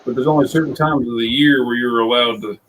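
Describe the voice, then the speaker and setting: A boisterous man, chatting loudly and laughing. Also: medium pitch, fairly even intonation, fast, poor audio. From North Carolina, US. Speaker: guest. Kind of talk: casual chat. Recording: faint background noise.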